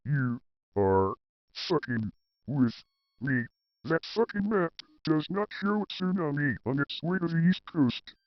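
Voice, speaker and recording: A man's voice: fairly high pitch, lively intonation, a little slow, faint music behind. A man playing a blunt, terse emergency warning chief in a scripted amateur drama. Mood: incredulous. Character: blunt male warning chief, incredulous, foul-mouthed, decisive. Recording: dry, slightly dull lo-fi audio.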